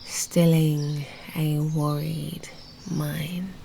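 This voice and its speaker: A British woman leads a guided meditation at an extremely slow pace, her soft voice often sinking toward a whisper. The tone is dark and mellow, with long, soothing pauses, over faint background ambience. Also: deep voice, level tone, creaky, slight background noise.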